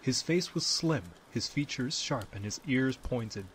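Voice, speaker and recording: A man narrating a scripted fantasy story as the storyteller, with dramatic, deliberate phrasing. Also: medium pitch, lively intonation, a little hiss or hum.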